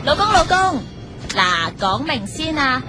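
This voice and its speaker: A woman's voice: high-pitched, very animated, unhurried. A woman with a Hong Kong Cantonese accent playing a sweet, eager young newlywed in an audio drama, coaxing and girlish. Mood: excited. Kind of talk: acted audio drama dialogue. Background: music behind the voice.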